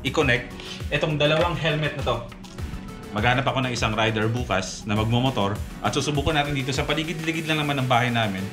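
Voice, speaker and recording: Young male vlogger, casual instructive tone, frequent check-ins, over background music. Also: medium pitch. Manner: instructive, talks viewers through each step, frequent "okay?" checks. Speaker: moto vlogger demonstrating gear. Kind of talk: casual how-to walkthrough. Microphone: camera mic.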